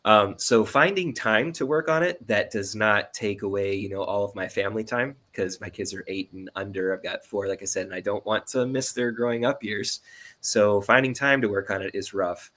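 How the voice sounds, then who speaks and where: Bright-voiced man chatting in an interview. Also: lowish voice, moderate pace. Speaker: audio drama producer and editor, interview guest. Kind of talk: casual interview chat.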